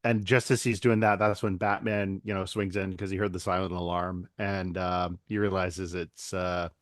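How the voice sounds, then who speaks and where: A man's voice: sits low, no room sound, clean studio sound. A man co-hosting a podcast, casually recapping an episode and briefly acting out characters. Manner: relaxed storyteller, acts out characters in quick voices. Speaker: podcast co-host.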